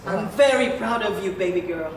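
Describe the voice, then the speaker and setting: A woman's voice: medium pitch, normal speed, close to an everyday voice. A woman acting a haughty, tart-tongued wife and mother in a stage play, middle-aged. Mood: gracious. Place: theatre stage. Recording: echoey, very noisy distant theatre recording.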